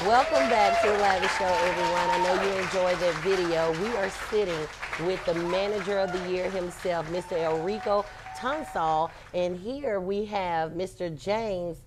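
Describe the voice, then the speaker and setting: A woman's voice: fairly low pitch, normal speed, hardly any pauses, loud music behind the voice, a loud crowd. Effusive woman hosting a talk show. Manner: effusive, frequently interjecting. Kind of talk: upbeat show hosting and interview.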